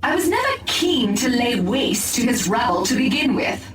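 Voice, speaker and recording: A woman's voice: fairly high pitch, big pitch swings, moderate pace. An actress playing a disdainful female elf warrior in a video game, speaking in a clipped, imperious, formal way. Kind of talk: scripted video game acting. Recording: echoey, distant, music behind.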